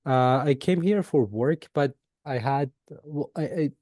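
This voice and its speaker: Soft-spoken Iranian-born man, dry close sound. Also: medium pitch, measured pace, dry recording. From Iran. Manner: hesitant, many fillers and restarts. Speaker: guest. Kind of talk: casual interview chat.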